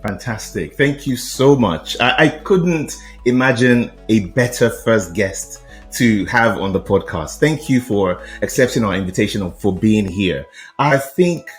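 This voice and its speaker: A man's voice: fairly low pitch, expressive, a little slow, soft background music. A man hosting a podcast, politely and enthusiastically welcoming his guest and inviting her to talk about her background. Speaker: podcast host. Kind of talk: podcast interview introduction.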